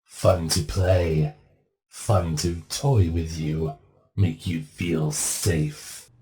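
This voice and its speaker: A man's voice: low-pitched, steady tone, drawn-out words, darkish tone, a little hiss or hum. A man playing a sadistic ghostly entity in a creepypasta dramatic reading, taunting its victim in terse, cryptic phrases. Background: music.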